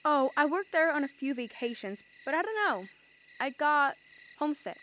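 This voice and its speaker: A woman's voice: high voice, expressive, moderate pace, fairly bright tone, a little hiss or hum, rough recording. A young woman playing a teasing, self-assured sweetheart in a school radio drama, wry and pointed. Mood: coy, welcoming. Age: young adult.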